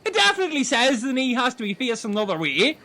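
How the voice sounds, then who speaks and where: A man's voice: extremely high pitch, big pitch swings, fast, sharp tone, heavily acted. A man playing a daft, chirpy castaway man who teases and talks nonsense in an amateur comedy audio drama. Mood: silly. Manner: silly, bouncy, teasing.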